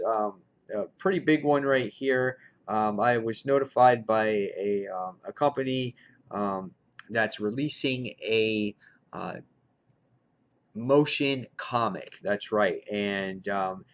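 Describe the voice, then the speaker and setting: Dull lo-fi recording, enthusiastic male vlogger. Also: mid-range voice. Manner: enthusiastic fan talk. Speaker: comic book reviewer hosting a haul video. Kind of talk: casual vlog chat, showing and commenting on purchases. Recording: dull lo-fi sound.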